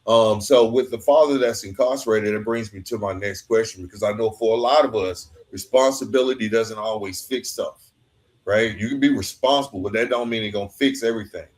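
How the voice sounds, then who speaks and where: A man's voice: on the low side, fairly quick, a little mellow. A man hosting a conversational interview, reflective and informal, sharing his own anecdotes and often checking in with a tag question. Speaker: podcast host.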